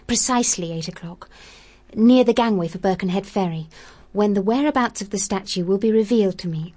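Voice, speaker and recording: A woman's voice: mid-range voice, a little mellow, close to an everyday voice. An actress in a radio drama playing a cool, bargaining younger woman with a matter-of-fact, pert manner.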